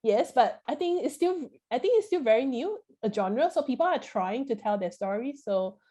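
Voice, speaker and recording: A woman's voice: on the high side, lively intonation, normal speed, warm tone. A woman hosting a workshop in a casual, chatty way, with informal, wandering phrasing full of "you know" and "like". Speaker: host, moderator.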